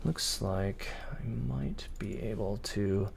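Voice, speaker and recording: A man with a low voice streams a video game live. He talks casually to his viewers, thinking aloud and explaining what he is doing. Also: fairly even intonation, slow, vocal fry, a little hiss or hum.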